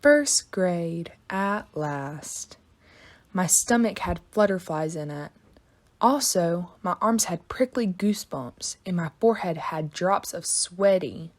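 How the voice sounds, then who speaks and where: A woman narrating a children's book aloud in an expressive, dramatic storytelling style. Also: on the low side, a little slow.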